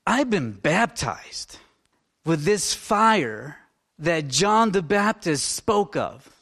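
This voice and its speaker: Fervent minister in his fifties. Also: high-pitched. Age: 50s. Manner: fervent, rambling, swings from loud to hushed. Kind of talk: informal sermon.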